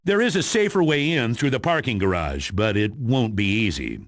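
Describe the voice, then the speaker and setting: Authoritative spy commander, lively and dry-miked. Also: male voice, medium pitch, normal speed, dry recording. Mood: businesslike. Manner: authoritative, matter-of-fact. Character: stern, businesslike male spy commander briefing a superhero. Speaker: mission commander. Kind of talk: video game mission briefing.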